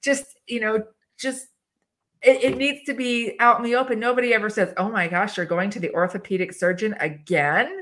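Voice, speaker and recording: A woman gives advice on a live video call, her pitch sitting mid-range. She talks in an animated way, laughs often and acts out little snippets of dialogue. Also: moderate pace, slight background noise. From English-speaking country. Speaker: HR expert guest. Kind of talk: live webinar discussion.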